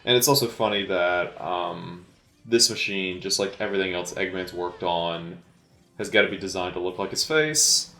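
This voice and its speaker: A man giving casual, unscripted movie commentary as an amateur, speaking hesitantly with halting, trailing pauses. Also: deep voice, sharp tone, reverberant, faint music behind.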